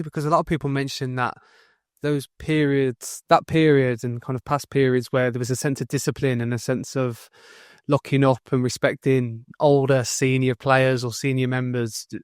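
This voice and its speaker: A man's voice: medium pitch, smooth, no echo, clean studio sound. Male host asking long, winding questions. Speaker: podcast host. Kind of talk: interview questions.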